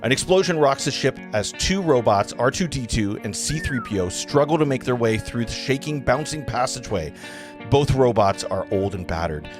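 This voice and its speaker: A man's voice: medium pitch, lively intonation. Man narrating script over guitar music. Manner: halting, stumbling over the script. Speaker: narrator reading stage directions. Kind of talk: live table read of a film script.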